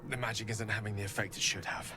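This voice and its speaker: A man playing an erudite, courteous, world-weary wizard in a fantasy video game, with eloquent, measured, poetic phrasing. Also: lowish voice, steady tone, over music, natural voice. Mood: troubled, melancholic.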